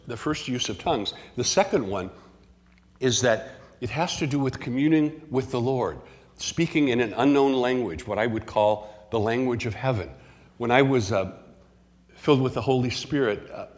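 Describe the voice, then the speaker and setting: Pastor guy preaching, earnest, keeps restarting words, echoey church hall. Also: medium pitch. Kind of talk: sermon. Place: church sanctuary. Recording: long hall reverb in a church.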